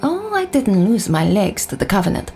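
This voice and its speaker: World-weary veteran with creaky voice. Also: female voice, mid-range voice, very animated, normal speed, a little mellow. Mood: somber, reflective. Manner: blunt, plain-spoken, reflective. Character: world-weary, candid female war veteran, wry and unsentimental. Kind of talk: scripted audio drama dialogue.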